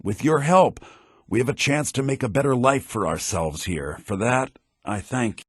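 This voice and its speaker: Shrewd fantasy game merchant, sly and dry, really swingy pitch, close dry sound. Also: male voice, animated, moderate pace, breathy edge, no echo. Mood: earnest, aggrieved. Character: male fantasy townsfolk, merchants and mages, shrewd and wry. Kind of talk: scripted video game character lines. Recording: low-bitrate game audio rip.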